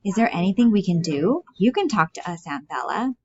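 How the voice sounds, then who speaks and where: A woman's voice: mid-range voice, big pitch swings, fairly quick, natural voice. A woman voicing a worried, sensible teenage older brother in a stage play. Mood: worried, scolding. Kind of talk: reading a stage play aloud. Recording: dull, muffled lo-fi audio.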